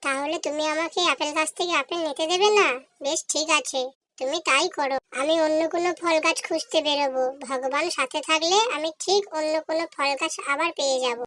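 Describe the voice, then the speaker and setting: Casting: woman to voice earnest little girl bird for kids' animation, high-pitched, childlike. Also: fairly even intonation, fairly quick, fairly bright tone, no room sound. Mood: indignant. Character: earnest, hardworking young female little bird. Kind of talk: children's cartoon voice acting.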